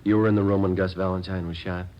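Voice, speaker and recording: An actor playing a businesslike detective sergeant in an old-time radio crime drama, speaking in a clipped, deadpan, polite way. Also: male voice, sits low, fast talker, highs cut off, lightly acted. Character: dedicated, businesslike male police detective sergeant. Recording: noisy old radio broadcast recording.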